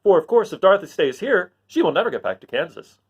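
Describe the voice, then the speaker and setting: A man voicing a proud, thoughtful living scarecrow in a children's storybook, in an animated, earnest lilt. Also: sits high, quick pace, slightly rough, pitched above the performer's own voice, full character voice. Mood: eager. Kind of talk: children's storybook read aloud.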